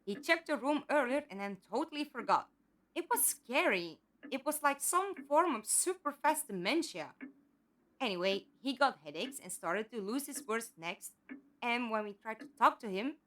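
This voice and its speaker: A woman's voice: sits high, a bit bright. A woman playing an earnest, indignant female captive with memory loss in an audio drama. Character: Five, earnest indignant female abductee with amnesia.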